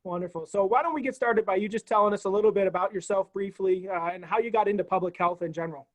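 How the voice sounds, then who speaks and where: A man hosts a university talk over a video call, running through housekeeping and introducing his guest in a friendly way. His voice sits on the high side, and the sound is dry and close. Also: fast, no room sound. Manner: friendly, housekeeping announcements with fillers. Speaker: university webinar host. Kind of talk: hosting a video call interview.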